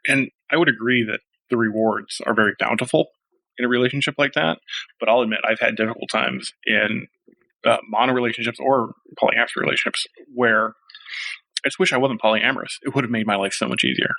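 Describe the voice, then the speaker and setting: A man's voice: mid-range voice, fast, vocal fry, pushed and bright, no echo, low-quality recording. A man in his thirties, a podcast guest, speaking casually with dry, self-deprecating humor. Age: 30s. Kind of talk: casual interview conversation.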